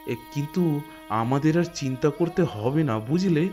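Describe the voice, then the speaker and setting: অভিনেতার কণ্ঠে উৎকণ্ঠিত, সৎ গ্রাম্য স্বামী, একটু চড়া গলা. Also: male voice, fairly high pitch, moderate pace, faint music behind, lightly acted. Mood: reassuring. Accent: West Bengal. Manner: hesitant, stammering, gently reassuring. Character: poor, honest, god-fearing village husband, anxious and earnest. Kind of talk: animated Bengali folk ghost story dialogue.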